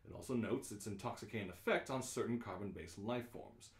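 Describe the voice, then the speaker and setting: A man reading as the dry, informative narrator of a galactic guidebook in an amateur radio play, deliberate and declaiming. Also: lowish voice, pitched below the performer's own voice, close to an everyday voice. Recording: roomy, echoing live reading.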